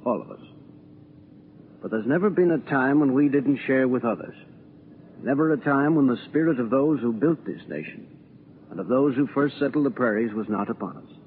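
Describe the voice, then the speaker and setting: Actor as earnest prairie orator, tinny radio. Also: male voice, mid-range voice, lightly acted. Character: earnest, hopeful prairie townsman making a speech. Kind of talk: 1940s radio drama acting. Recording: tinny, noisy 1940s radio recording.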